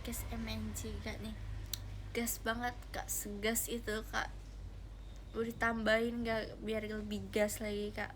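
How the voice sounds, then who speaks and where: A woman's voice: fairly high pitch, level tone, a little slow, a little hiss or hum. A young woman, chatting casually and giggly with viewers on a livestream, addressing them directly. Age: young adult. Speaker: idol livestreamer.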